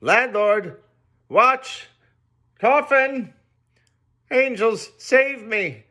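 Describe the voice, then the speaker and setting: A man voicing a startled young male sailor who speaks loudly and urgently. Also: medium pitch, sing-song, unhurried, exaggerated voice. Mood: frantic. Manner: loud, urgent pleading.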